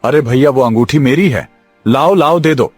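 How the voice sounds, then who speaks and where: A man playing a greedy, excitable younger brother in a village cartoon story, talking in a hurried, agitated way. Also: medium pitch, no room sound, faint music behind, natural voice. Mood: agitated, demanding. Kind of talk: Hindi cartoon drama acting.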